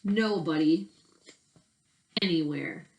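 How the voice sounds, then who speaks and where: A woman voicing a bored, fussy young male muskrat from a storybook, with drawn-out exclamations. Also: fairly low pitch, vocal fry. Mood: bored, sighing.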